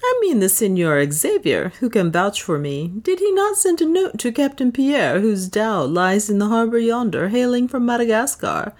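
A woman's voice: a little high, big pitch swings, normal speed, hardly any pauses, pitched above the performer's own voice. A woman doing a cool, courteous English adventurer. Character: adult male English adventurer, cool and bold.

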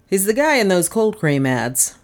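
A woman's voice: mid-range voice, big pitch swings, moderate pace, pitched above the performer's own voice, lightly acted. Deferential young-sounding deputy man acted by a woman, eager to inform, for a mystery audiobook.

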